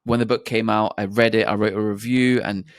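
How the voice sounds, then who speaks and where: Low-voiced podcast host, dry sound. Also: male voice, steady tone, brisk, dry recording. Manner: hesitant, many fillers and restarts. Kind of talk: casual interview chat.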